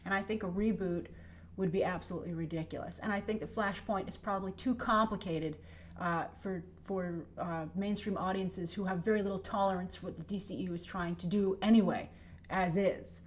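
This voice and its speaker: A woman's voice: medium pitch, steady tone, brisk, slight background noise. A woman hosting an online film commentary show, speaking in an emphatic, conversational way and often checking in with rhetorical questions. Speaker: YouTube host and film commentator. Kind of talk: opinionated commentary to camera.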